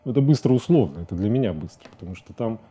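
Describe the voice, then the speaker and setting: A middle-aged man talking reflectively about his work as an interview guest in a studio, with halting phrasing. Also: lowish voice, animated, dark tone, slightly rough, dull audio. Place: TV studio, seated at a desk.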